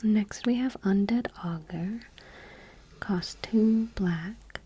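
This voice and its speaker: A woman speaking in a soft, breathy whisper, reading aloud gently and unhurriedly in an ASMR style. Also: medium pitch, slow. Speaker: host of an ASMR channel.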